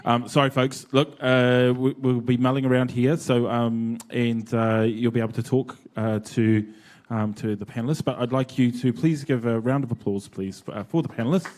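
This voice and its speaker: A man with a New Zealand accent moderating a live panel discussion, relaxed and chatty with many fillers. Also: mid-range voice, normal speed. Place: conference venue.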